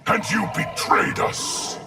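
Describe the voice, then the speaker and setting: An actor playing a solemn, vengeful undead being made of many souls in a fighting game, speaking formally as we. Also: male voice, slow pace, loud music behind the voice, natural voice. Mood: menacing. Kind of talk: fighting game character dialogue.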